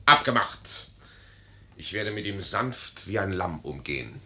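An actor playing a vain, gullible young army lieutenant, brash and pompous, in a 1950s radio drama. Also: male voice, sits low, expressive, unhurried, sharp tone, full character voice. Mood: puzzled, agreeable. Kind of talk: 1950s German radio play acting.

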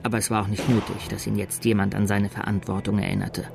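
An actor narrating as a sober chronicler of violent events in a radio drama, measured and literary. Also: male voice, on the low side, little pitch movement, gravelly, music in the background. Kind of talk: scripted third-person radio drama narration.

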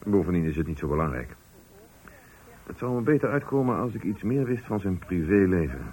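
An actor in a radio play playing a calculating, condescending company director, speaking formally with a husky voice. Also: male voice, low voice, big pitch swings, fairly quick, darkish tone. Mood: brisk, condescending. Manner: formal, smooth-talking, patronising. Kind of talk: Dutch radio play acting.

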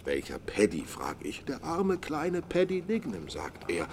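A man's voice: on the high side, huge pitch swings, measured pace, slight background noise, exaggerated voice. A man playing a sociable, nosy acquaintance in a radio drama, rambling through anecdotes in a conversational way. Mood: gossipy, mournful. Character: chatty gossiping male acquaintance, sociable and nosy.

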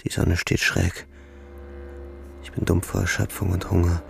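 An actor playing a weary, haunted young German soldier who narrates his war in a radio play, with a gravelly voice. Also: deep voice, completely flat delivery, measured pace, exaggerated voice. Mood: exhausted, brooding. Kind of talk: literary radio play narration in first person. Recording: music under the voice.

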